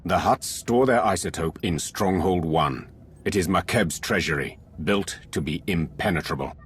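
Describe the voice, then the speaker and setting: A solemn male adviser with a deep voice, formal and stately. It is space opera game dialogue with faint noise behind it. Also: measured pace. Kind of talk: video game voice acting.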